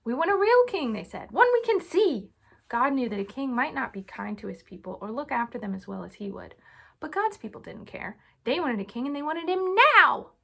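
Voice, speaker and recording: Husky, high-voiced woman reading to kids. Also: a little fast. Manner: casual, child-directed, animated on dialogue. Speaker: parent reading aloud to children. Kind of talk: informal storybook read-aloud.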